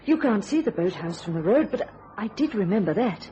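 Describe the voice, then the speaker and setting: A woman's voice: medium pitch, sing-song, a little fast, warm tone, muffled recording, slight background noise, lightly acted. A woman playing a cool, guarded and troubled wife in a radio thriller, well-spoken and restrained. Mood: haunted.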